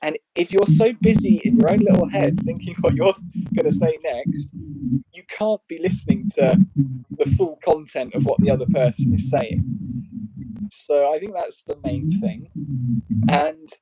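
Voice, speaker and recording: A British man co-hosting a casual chat, measured and reflective, thinking aloud. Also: on the high side, big pitch swings, warm tone, slight background noise, rough recording. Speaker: co-host.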